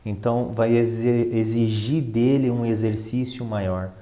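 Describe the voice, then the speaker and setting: A man's voice: mid-range voice, steady tone, measured pace, dark tone. A Brazilian man speaking conversationally as an expert guest, explaining things with emphatic repetition and rhetorical questions. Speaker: interview guest, finance professional and professor.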